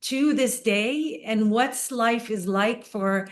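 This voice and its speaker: A woman from Israel, a playwright and performer, telling her personal story in a sit-down interview in an earnest, measured way. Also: on the high side. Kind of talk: conversational interview.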